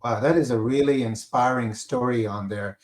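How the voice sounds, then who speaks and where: A man hosting a live interview, talking haltingly with many fillers and a repeated "on there". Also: mid-range voice, darkish tone, echoey room. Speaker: host, company director of school services.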